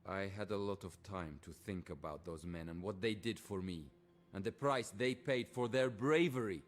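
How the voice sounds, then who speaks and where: An actor playing a brooding, disillusioned Soviet soldier turned war writer in a dramatic video game cutscene. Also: male voice, sits low, moderate pace, a little hiss or hum, lightly acted. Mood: reflective. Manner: brooding, reflective.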